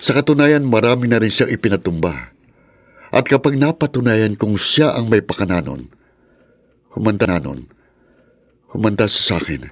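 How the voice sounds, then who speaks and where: A menacing Filipino political rival in a radio drama. His low voice is stern and accusing, each threat laid down deliberately in a dry studio sound. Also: lively intonation, dry recording. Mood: vengeful.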